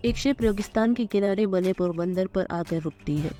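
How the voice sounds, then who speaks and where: A woman's voice: mid-range voice, normal speed, dark tone. A woman reading a dramatized scripted story as its narrator. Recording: music clearly behind the voice.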